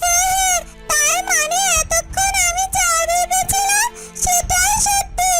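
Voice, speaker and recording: A woman voicing a small, excitable talking yellow bird with a squeaky cartoon voice, exclaiming and questioning. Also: takes it slowly, over music. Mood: excited, urgent.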